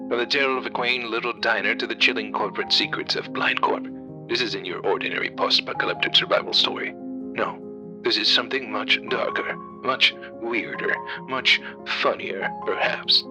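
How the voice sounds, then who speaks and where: A man announcing a horror podcast in a scripted, ominous and measured narration. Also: mid-range voice, steady tone. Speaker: series announcer. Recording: music behind the voice.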